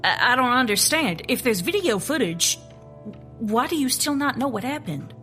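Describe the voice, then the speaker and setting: Bright-voiced skeptical woman agent. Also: fairly high pitch, big pitch swings, normal speed, soft background music. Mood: insistent, puzzled. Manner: questioning, blunt, incredulous. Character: skeptical, sharp female government agent seeking answers. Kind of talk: naturalistic audio drama acting.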